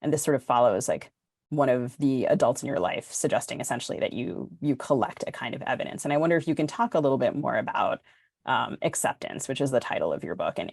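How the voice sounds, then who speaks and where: Woman, gravelly fry, dry close recording, podcast host, considered interview questions. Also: extremely low pitch, a little mellow, no echo. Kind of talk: considered literary interview questioning, quoting from a book.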